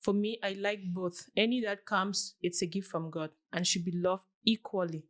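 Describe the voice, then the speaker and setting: A woman's voice: mid-range voice, steady tone, moderate pace. A woman in her late twenties from Cameroon, a guest giving earnest, casual interview answers and acting out little quoted examples as she explains. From southwest Cameroon. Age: late 20s.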